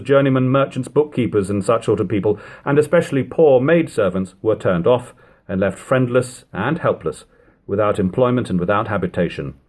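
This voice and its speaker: A man reading classic literature aloud as an audiobook narrator, in a measured, formal manner with long phrases. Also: medium pitch, a little mellow. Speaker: audiobook reader.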